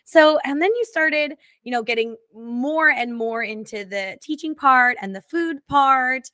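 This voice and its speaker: A woman host with a slightly high voice keeps the talk going with small agreements. She asks her questions in a casual, friendly chat. The recording is dry and close. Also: unhurried, pushed and bright, dry recording. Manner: encouraging, frequent small agreements and laughs.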